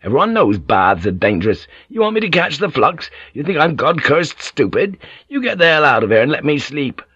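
A man voicing a stubborn, hot-tempered foreign sea pirate in an audiobook, blunt and forceful in manner. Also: mid-range voice, big pitch swings, normal speed, hardly any pauses, slightly rough, dry recording, highs cut off, pitched above the performer's own voice, full character voice. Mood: angry, defiant.

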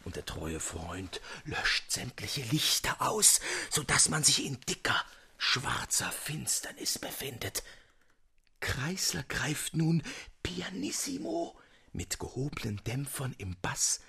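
An actor playing an eccentric storyteller in a radio drama, hushed and suspenseful, often slipping into a breathy whisper. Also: male voice, mid-range voice, big pitch swings, slow pace, full character voice. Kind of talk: dramatic literary radio narration.

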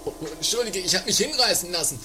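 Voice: mid-range voice, animated, fast talker, noisy background, natural voice. A performer playing a grumpy, whiny, easily swayed man in a comedy radio play.

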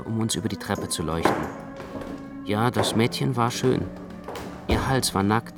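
A man reading literary first-person narration in a measured, carefully phrased way. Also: lowish voice.

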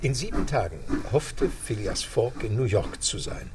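A man narrating a radio play with measured storytelling. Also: medium pitch, sing-song, very noisy. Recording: music beneath narration.

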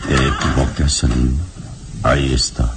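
An actor playing a calm, courteous adventurer hero in a radio serial, speaking in a measured, suave and gently ironic way. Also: male voice, extremely low pitch, music in the background. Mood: calm, reassuring. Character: calm, courteous, all-knowing adult male adventurer hero. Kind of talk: Mexican radio serial acting.

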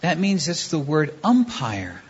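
Woman preacher, animated delivery with acted asides, low and a bit husky, lo-fi recording. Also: measured pace. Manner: acts out short dialogues, lively. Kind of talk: sermon with live interpretation. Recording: dull lo-fi recording.

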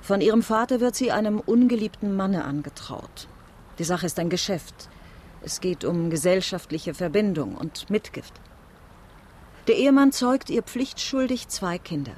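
An actress as a provocative French marquise, noisy backdrop. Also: female voice, mid-range voice, a little mellow, lightly acted. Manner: ironic, eloquent, probing. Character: worldly French marquise accused of poisoning, bitter and provocative. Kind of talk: German radio drama acting.

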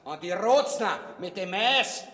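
A man's voice: sits high, lively intonation, measured pace, noisy background. An actor playing a crude, pompous usurper king in a satirical radio play, mocking in a Viennese accent. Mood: taunting, loud. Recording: echoey hall with long reverb.

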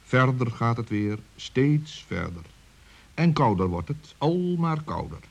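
A man narrating a scripted children's story as storyteller, expressively, with dramatic emphasis and drawn-out words. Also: medium pitch, sing-song, gravelly, breathy edge.

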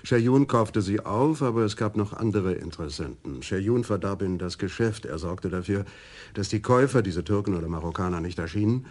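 An actor playing a stout, easygoing middle-aged police adjutant in a German radio crime drama, speaking in a dry, matter-of-fact way. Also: male voice, on the low side, animated, fairly quick, darkish tone.

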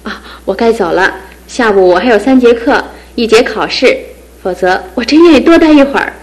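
Actress as outspoken young teacher, higher bright voice, animated delivery. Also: female voice, fairly quick, natural voice, poor audio. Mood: cheerful. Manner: frank, animated, scolding then cheerful. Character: lively young woman teacher, frank and outspoken. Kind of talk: radio drama acting.

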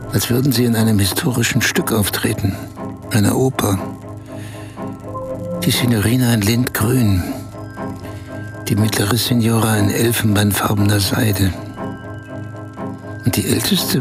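An actor in a radio play as a man recounting, in measured detail, a strange dreamlike night of being pampered. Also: fairly low pitch, vocal fry. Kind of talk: German radio play monologue. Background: music.

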